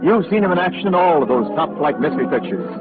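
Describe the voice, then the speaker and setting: A man announcing a 1940s radio program with a booming, formal delivery. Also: animated, fast talker. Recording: tinny 1940s radio broadcast with music.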